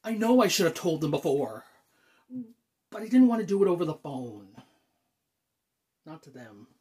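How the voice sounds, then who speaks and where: Man acting anxious, halting thirty-year-old, widely swinging pitch. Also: very animated, a little mellow, slightly rough. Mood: panicky, torn. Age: 30s. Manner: halting, nervous, sighs and stammers. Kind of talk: dramatic monologue, self-taped acting.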